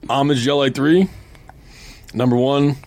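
A man co-hosting a podcast, reading his countdown list in a relaxed, playful way. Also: medium pitch, unhurried. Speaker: podcast co-host.

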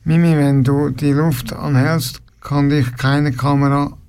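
A man's voice: on the high side, level tone, unhurried, a little mellow, clear, resonant tone. A man playing a protective, magical guardian angel in a radio drama, insistent and reassuring. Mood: coaxing. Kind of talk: scripted radio drama acting.

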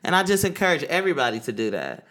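Man, podcast co-host, from Oakland. Loose, slangy storyteller with a bright voice. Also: a little high, sing-song, racing. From East Oakland, California. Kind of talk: casual podcast chat.

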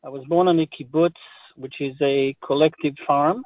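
An older man from Israel with an Israeli accent, speaking as a guest farmer in halting, pause-filled answers. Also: on the high side, fairly even intonation, no echo. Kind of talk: interview answers. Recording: tinny phone line.

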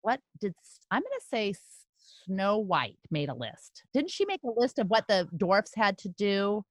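A woman's voice: mid-range voice, very animated, moderate pace, no echo. A woman in a casual podcast chat, playfully thinking aloud as she guesses quiz answers.

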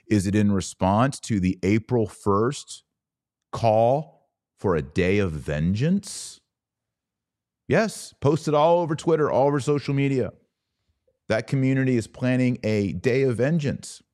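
A man delivering an opinionated podcast monologue as host, speaking emphatically with rhetorical questions and dramatic pauses. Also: low-pitched, darkish tone, no room sound, studio quality.

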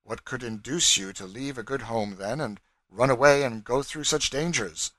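A man voicing an inquisitive senator who questions in a measured, formal way in an audiobook. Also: mid-range voice, bright, forceful tone, no room sound, pitched above the performer's own voice. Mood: probing.

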